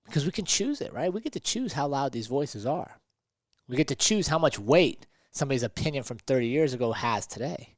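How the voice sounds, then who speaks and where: A man's voice: mid-range voice, lively intonation, fairly quick, dry recording, clean studio sound. A man talking conversationally as a motivational podcast host and coach, emphatic and fond of rhetorical questions.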